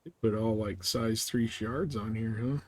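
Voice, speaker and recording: A man's voice: fairly low pitch, fairly even intonation, measured pace, no echo. A man streaming a game, chatting casually and thinking aloud in a conversational way. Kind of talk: casual chat while playing a space game.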